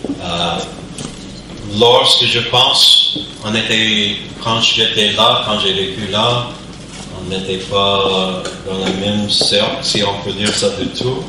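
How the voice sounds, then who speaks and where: Hesitant pastor in an echoey church. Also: male voice, fairly low pitch, steady tone, slow pace, noisy background. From Canada, New Brunswick. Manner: hesitant, searching for words. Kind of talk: church service introduction. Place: church sanctuary. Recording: echoey church hall from far off.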